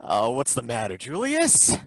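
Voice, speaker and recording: A doomed inspector, drawling and dreamy, lively pitch, dry close sound. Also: male voice, normal speed, vocal fry, no echo, heavily acted, low-quality recording. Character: dreamy doomed male inspector, eerily calm. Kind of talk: old-time radio drama acting.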